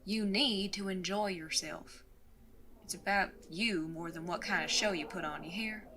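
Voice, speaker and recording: A woman's voice: fairly low pitch, moderate pace, sharp tone, slight background noise. A woman acting in an audio drama as a tough, tender outlaw mother, folksy, teasing and reassuring. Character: protective outlaw mother, wry, tough, tender with grown son.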